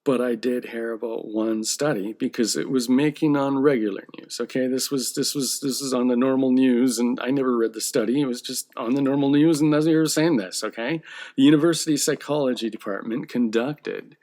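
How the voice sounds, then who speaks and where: A man's voice: medium pitch, a little fast, darkish tone. A man who draws comic books, talking in a casual, rambling monologue that often checks in with "okay?". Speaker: comic book artist.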